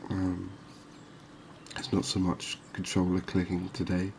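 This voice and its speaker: A man giving calm, unhurried ASMR-style commentary on a fishing game, explaining things as he plays. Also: low voice, gravelly, a little hiss or hum. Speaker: gaming video host.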